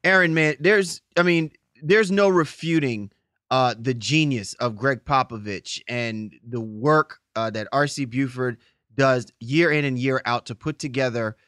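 A man's voice: medium pitch, measured pace, fairly bright tone, dry recording, clean studio sound. A man hosting a sports podcast in an effusive, informal, conversational way. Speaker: podcast host.

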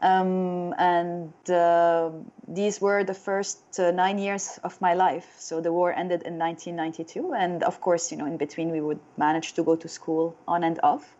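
A woman's voice: fairly low pitch, fairly even intonation, moderate pace, a little mellow, muffled recording. A woman in her thirties from Lebanon, with a Lebanese accent, telling her own story as an interview guest. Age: 30s.

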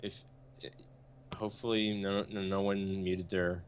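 A man's voice: low-pitched, level tone, measured pace. A young adult man co-hosting a podcast in casual, slangy banter, speaking into a headset in a bedroom. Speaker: podcast co-host. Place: small bedroom, indoors. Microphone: headset with boom, online call. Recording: faint background noise.

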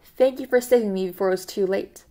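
A woman puts on a terrified, servile middle-aged man in an audiobook. He pleads eagerly and anxiously, almost blubbering, his voice lifted higher and louder than the narration. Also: quick pace, close to an everyday voice.

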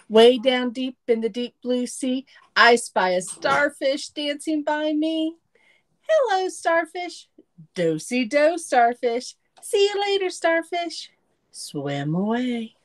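A middle-aged woman reads a storybook for young children. Her voice sits high and she takes her time, with an expressive, sing-song delivery. It sounds like a home recording on a computer. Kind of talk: children's picture book read-aloud with asides to young listeners. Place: small room at home. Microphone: computer mic on a video call setup.

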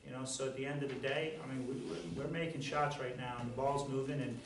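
Halting middle-aged coach in a roomy hall. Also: male voice, medium pitch, steady tone. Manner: halting, many fillers and repeated words. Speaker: head basketball coach. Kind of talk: post-game press conference answers. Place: press conference room. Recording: roomy, distant, faint noise.

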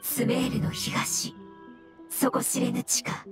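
A woman voicing a polite, wise floating fungus spirit in a game dub, with formal, measured phrasing. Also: sits high, flat delivery. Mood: solemn, recalling. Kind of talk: Japanese game dub dialogue. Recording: loud background music behind voice.

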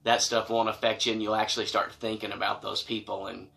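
Bright-voiced middle-aged American man telling stories. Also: lowish voice, level tone, brisk, gravelly. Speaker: storytelling vlogger. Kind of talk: casual personal storytelling to camera. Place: small wood-paneled room indoors.

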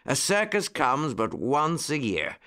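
A man voicing a kindly, fussy old gentleman rabbit in a children's story, with a genteel, exclamatory manner. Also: a little high, wildly swooping pitch, takes it slowly, voice pushed up, exaggerated voice.

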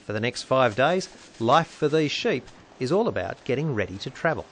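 A man reading scripted documentary narration in an even, measured way. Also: medium pitch, slight background noise. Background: music.

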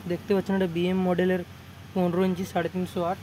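High-voiced young West Bengali man, flat delivery. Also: rapid-fire. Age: young adult. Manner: repetitive, informal. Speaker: electronics repair shop vlogger. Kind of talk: casual product showcase vlog. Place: cluttered indoor repair shop. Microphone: handheld phone. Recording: noisy handheld phone recording.

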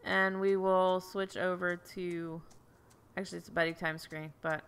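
Young woman streamer on headset mic, chatty and giggly, bright tone with gravelly fry. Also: fairly low pitch, little pitch movement, moderate pace. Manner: chatty, giggly, thinking aloud. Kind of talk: casual gameplay chat. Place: home room indoors.

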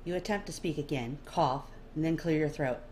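Low-pitched woman reading a story. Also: normal speed, warm tone. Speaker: amateur audiobook reader. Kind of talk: expressive scripted second-person story narration. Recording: faint background noise.

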